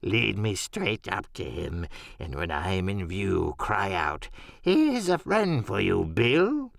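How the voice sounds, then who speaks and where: A man voicing a cruel old blind beggar in an audiobook radio play, soft-spoken and cold, with a slow, drawn-out, falsely wheedling sing-song. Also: deep voice, very animated, creaky, no room sound, clean studio sound, pitched below the performer's own voice, exaggerated voice. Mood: cold, commanding.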